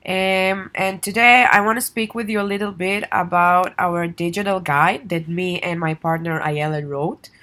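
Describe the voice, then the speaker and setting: A woman's voice: on the low side, steady tone, normal speed, hardly any pauses, sharp tone. A young adult woman whose first language is Hebrew, talking casually to camera about the travel guide she co-wrote, with lots of "uh"s along the way. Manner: chatty and informal, with many fillers. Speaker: travel guide author and tour operator. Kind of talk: casual promotional vlog.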